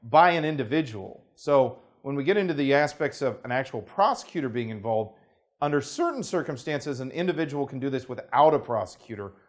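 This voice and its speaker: An American man talking through a legal process as an attorney. He speaks in a formal, measured way, with lawyerly phrasing and frequent qualifiers. His voice sits at an ordinary middle pitch. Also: lively intonation. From United States. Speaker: attorney presenting a legal explainer. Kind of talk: unscripted talking-head explanation.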